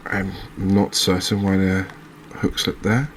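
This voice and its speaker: A man with a low voice and an extremely flat, even intonation gives quiet gameplay commentary. He muses unhurriedly, pausing as he searches for words. A faint layer of ambient noise sits behind him. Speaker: host of a gaming channel playing a fishing game.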